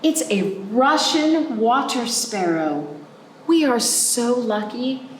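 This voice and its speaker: Thrilled picture-book birdwatcher, read by a woman. Gushing, emphatic, lively intonation, echoey room. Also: a little high, slow, distant mic, voice pushed up. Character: delighted birdwatcher in a storybook crowd, thrilled and gushing. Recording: roomy echo, faint background noise.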